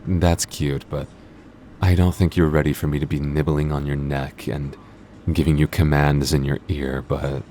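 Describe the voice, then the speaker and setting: Very deep, teasing vampire boyfriend, acted by a man. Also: warm tone, slight background noise.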